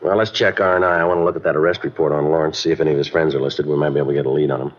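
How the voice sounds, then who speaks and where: An actor playing a terse, businesslike police detective in a 1950s radio drama, speaking in a deadpan, clipped, matter-of-fact way. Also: male voice, extremely low pitch, racing. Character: terse, businesslike male police detective, narrating his cases. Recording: dull old radio recording.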